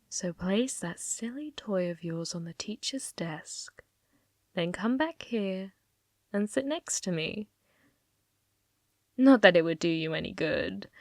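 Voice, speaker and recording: Slow, coy, possessive yandere girl played by a woman. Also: medium pitch, no room sound, clean studio sound, heavily acted. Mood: quietly threatening. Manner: coy, teasing, drawn-out phrasing dropping into breathy whispers. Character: possessive, manipulative yandere schoolgirl childhood friend, sweetly menacing. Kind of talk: ASMR audio roleplay.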